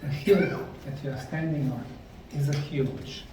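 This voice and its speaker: A middle-aged man slowly narrating a documentary on location at ancient ruins, explaining the sites like a tour guide. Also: fairly high pitch, warm tone, a little hiss or hum. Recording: roomy, distant mic with faint outdoor ambience.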